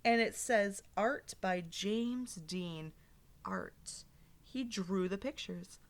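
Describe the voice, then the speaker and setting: A woman's voice: mid-range voice, very animated, very slow. A woman teacher doing an interactive read-aloud for young children, explaining simply, asking questions and reading expressively.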